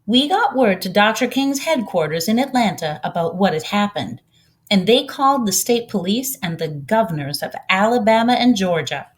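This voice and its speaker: A gentle older woman from a storybook , acted out by a female reader, recalls her community with quiet pride. She speaks earnestly and takes her time, like someone sharing a memory. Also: mid-range voice, animated, normal speed, close to an everyday voice. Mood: solemn pride.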